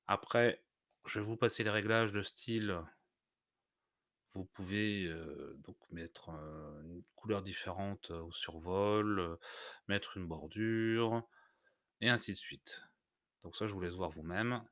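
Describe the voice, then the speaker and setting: A man narrating an unscripted software tutorial, explaining step by step in a hesitant way. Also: low-pitched, takes it slowly, dry recording, clean studio sound. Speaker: tutorial host.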